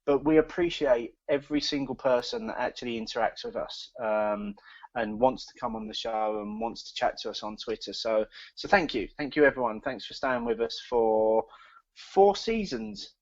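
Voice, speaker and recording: Gravelly man, halting podcast chat. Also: medium pitch, lively intonation, no echo. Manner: halting, many fillers and repeated words. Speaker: podcast co-host. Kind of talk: casual chat. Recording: dull, lo-fi sound.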